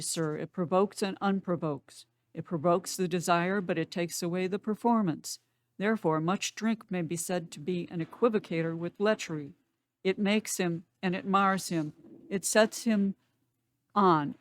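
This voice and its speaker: Woman playing a bawdy, hungover castle porter, low-pitched. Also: no echo, lightly acted. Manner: theatrical, muttering comic monologue. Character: rambling hungover male porter, bawdy and sardonic. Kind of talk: dramatic reading of Shakespeare.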